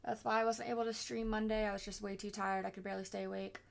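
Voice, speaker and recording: A woman streamer with a hoarse, scratchy voice, chatting casually and rambling with lots of fillers. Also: medium pitch, completely flat delivery, quick pace. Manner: rambling, filler-heavy, mutters to herself.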